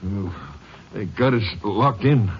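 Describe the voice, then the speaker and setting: An actor playing a folksy, evasive middle-aged motel owner in a 1950s radio drama. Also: male voice, fairly low pitch, slow. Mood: alarmed. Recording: dull 1950s radio broadcast, clear hiss.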